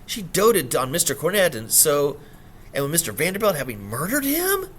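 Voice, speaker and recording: A man reading a story voices a young kitchen girl with a put-on English country bumpkin accent, crying out in a high, tearful, accusing voice. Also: huge pitch swings, moderate pace, a bit bright, a little hiss or hum. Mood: eager.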